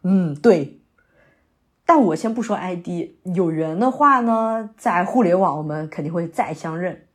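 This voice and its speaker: A woman's voice: fairly low pitch, expressive, a little slow. A woman acting a young, sentimental, theatre-loving new graduate in a naturalistic audio drama, speaking in a hesitant, conversational way. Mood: friendly, tentative. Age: young adult. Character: young woman fresh out of university, wandering, sentimental theatre lover.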